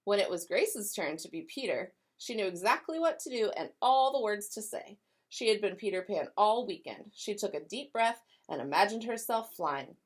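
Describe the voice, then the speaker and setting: A woman educator giving school announcements and reading a children's picture book aloud, expressive and clearly paced for young listeners. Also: mid-range voice, moderate pace.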